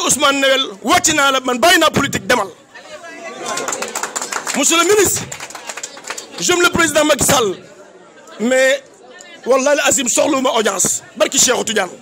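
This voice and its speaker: A man's voice: very high-pitched, fairly quick, long pauses, pushed and bright, some chatter behind. A man with a Senegalese accent giving an impassioned public praise speech, declamatory and emphatic, with repeated phrases. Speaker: political activist giving a tribute.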